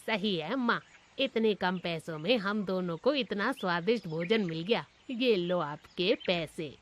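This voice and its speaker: Woman voicing a lowish, friendly young student guy. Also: lively intonation, a little slow, a little hiss or hum. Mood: friendly, appreciative. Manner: familiar, chatty, complimentary. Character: friendly, appreciative young male student customer. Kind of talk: cartoon moral story voice acting.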